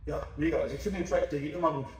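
A man's voice: on the high side, fairly even intonation, very fast, darkish tone, distant mic. A young man interviewing a DJ, asking long, rambling questions full of fillers. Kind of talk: casual interview. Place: small backstage room with sofa, indoors. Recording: echoey room, voice off-mic.

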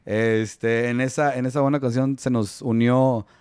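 A man hosting a casual podcast from a toy-filled collectibles room, joking and rambling with lots of fillers, speaking into a boom mic. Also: fairly even intonation, measured pace, a little mellow, smooth, no room sound. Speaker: podcast host. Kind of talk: casual chat. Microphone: studio mic on boom arm.

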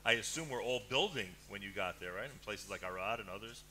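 A middle-aged man hosting a live radio show, enthusiastic and animated, speaking into a headset mic in a broadcast booth. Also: on the low side, quick pace, slight background noise, poor audio. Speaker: radio host.

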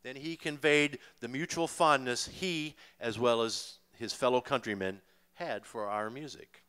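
An older man with an American accent reading his memoir live on stage, with expressive storytelling and dramatic pauses. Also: medium pitch, clear, resonant tone. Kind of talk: live book reading to an audience. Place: stage in a small dim music venue. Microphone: vocal mic on a boom stand, close.

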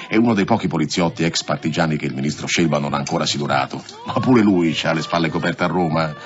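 An actor in an Italian radio drama playing a pragmatic, cautious police subordinate who confides gossip in a colloquial way. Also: male voice, extremely low pitch, fast talker, dull audio, soft background music. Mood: confiding.

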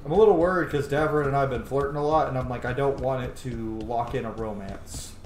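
A man's voice: medium pitch, fairly even intonation, fast talker, a little mellow, reverberant, a little hiss or hum. A man in his thirties giving casual playthrough commentary on a video game from a room at home. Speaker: gameplay streamer. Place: small room at home, indoors.

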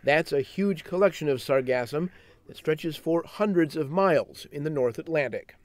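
A man giving a scripted, measured narration for a science news segment. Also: on the high side, expressive, no room sound.

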